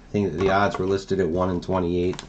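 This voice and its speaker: Hoarse low-voiced man opening card packs. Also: brisk, highs cut off, slight background noise. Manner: relaxed, explanatory, with many fillers. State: hoarse from a cold. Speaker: card break host. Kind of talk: casual card-opening commentary. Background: crinkling wrappers and clicks.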